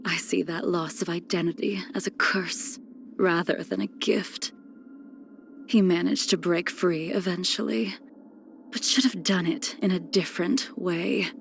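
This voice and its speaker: A woman playing a cold, ageless, melancholy swordswoman in a video game, speaking in formal, solemn phrases that trail into breathy near-whispers. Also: on the low side, steady tone, measured pace, faint music behind. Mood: grave. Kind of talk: scripted video game character lines.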